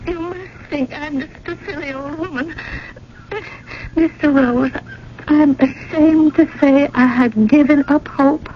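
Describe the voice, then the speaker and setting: A woman's voice: high-pitched, slow. An actress playing a genteel, sentimental old widow in a radio drama, chatty and ladylike, with hesitant repetitions. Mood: tearful, flustered. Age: older. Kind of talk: 1980 American radio drama acting. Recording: dull, noisy old radio broadcast.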